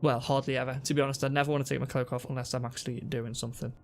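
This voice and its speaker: A young man with a sore throat and a congested voice, calmly explaining a game to viewers on a headset mic. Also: mid-range voice, very fast, gravelly, a little hiss or hum. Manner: relaxed, explanatory, talks to viewers. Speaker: gaming youtuber. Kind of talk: casual gameplay tutorial. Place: home room at a desk.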